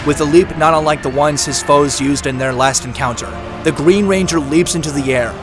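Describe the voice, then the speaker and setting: A man's voice: on the high side, fairly quick, music in the background. A man giving dramatic scripted narration for an audio drama.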